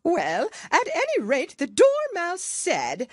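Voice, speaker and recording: A woman's voice: wildly swooping pitch, slow, dry recording, clean studio sound, exaggerated voice. A woman voicing a nervous, wretched man pleading in a storybook courtroom, with a trembling, anxious, faltering voice. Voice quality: trembling, high-strung voice. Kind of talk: storybook character reading.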